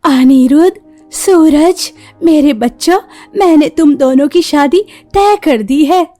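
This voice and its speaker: A woman voicing a gentle, long-suffering village mother of grown sons in a cartoon story, tender and maternal. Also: high-pitched, takes it slowly, faint music behind. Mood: fond. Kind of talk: Hindi cartoon story voice acting.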